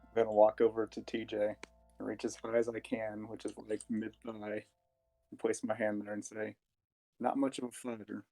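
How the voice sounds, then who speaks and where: A man's voice: on the low side, level tone, moderate pace, mellow, faint music behind, rough recording. A man playing a tabletop role-playing game, casually narrating and chatting in character.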